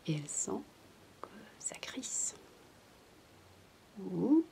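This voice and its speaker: A woman in a soft, breathy, mostly whispered ASMR voice, telling a bedtime story as a chatty, hesitant babysitter. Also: mid-range voice, very animated, very slow. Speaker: ASMR roleplayer playing a babysitter. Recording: noisy homemade recording without binaural mic.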